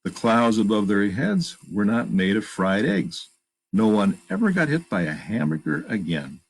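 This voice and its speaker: A man's voice: on the low side, big pitch swings, normal speed, creaky, slightly rough, rough recording. A man reading a children's picture book aloud, expressively, with plain asides about the pictures. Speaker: storytime reader and narrator.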